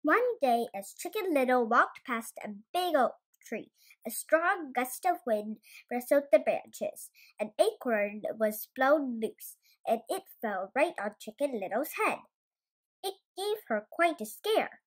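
A young female storyteller reads a children's tale. The voice is high and very lively in its melody, with expressive delivery throughout. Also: takes it slowly. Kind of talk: children's bedtime story reading.

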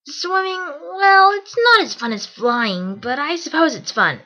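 A woman's voice: high-pitched, sing-song, a little slow, sharp tone, exaggerated voice. A woman voicing a cocky young female cartoon pegasus pony, confident and casual. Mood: casual, playful. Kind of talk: amateur cartoon fan audio drama.

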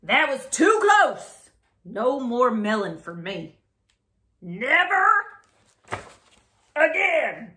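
A woman voicing a dramatic young crocodile who loves watermelon and panics easily, exclaiming in drawn-out words for a children's storybook. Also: fairly high pitch, sing-song, pushed and bright, full character voice. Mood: relieved, wavering. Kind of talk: children's storybook read-aloud.

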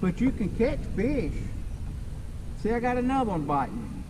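A man's voice: extremely high pitch, sing-song, moderate pace. An older man with a Southern US accent, chatting in a folksy drawl to the camera while fishing from a small boat on a lake. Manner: folksy, drawling, talks to himself while working the rods. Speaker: fishing video host. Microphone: action camera mounted on the boat. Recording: outdoor lake ambience with clear background noise.

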